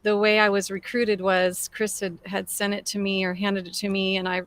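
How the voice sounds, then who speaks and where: A woman band member answering interview questions in a halting way, with many fillers. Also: mid-range voice, flat delivery, clean tone, no echo. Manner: warm, halting, full of fillers.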